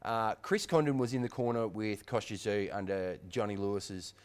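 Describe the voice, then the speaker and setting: A man's voice: on the low side, normal speed, clear, resonant tone. A man hosting a boxing show, leading an upbeat casual rundown of fight week. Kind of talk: casual sports chat.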